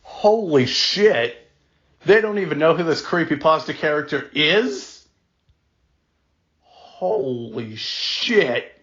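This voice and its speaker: Man, slightly high voice, drawn-out reactions for an amateur scenario, lo-fi recording. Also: sing-song, a bit bright. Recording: dull lo-fi audio.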